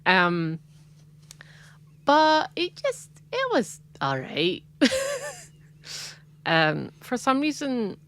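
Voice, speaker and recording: A woman's voice: a little high, huge pitch swings, drawn-out words, long pauses, fairly bright tone. A woman from Scotland with a Scottish accent, chatting casually as a podcast co-host, rambling with lots of "like" and laughing often. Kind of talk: casual chat.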